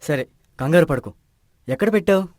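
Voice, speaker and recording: A man plays A calm, inquisitive village friend from an animated moral tale. His voice is a touch high and he questions with patient reassurance, in a dry recording. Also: fairly quick, no echo, natural voice. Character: level-headed village friend investigating patiently. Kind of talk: animated moral story dialogue.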